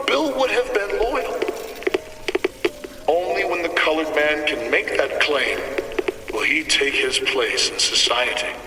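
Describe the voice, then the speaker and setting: Echoing elderly zealot prophet. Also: male voice, on the high side, distant mic. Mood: solemn, fervent. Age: older. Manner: solemn, grandiose, measured, archaic preaching. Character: zealous elderly prophet, preaching villain ruler. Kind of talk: theatrical sermon-like oratory.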